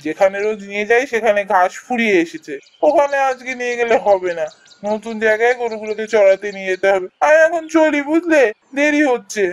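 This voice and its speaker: A man's voice: high voice, animated, fairly quick. A man voicing a good-natured, worried young village cowherd in a cartoon, musing expressively with a Bengali accent. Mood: impatient. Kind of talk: cartoon voice acting, thinking aloud.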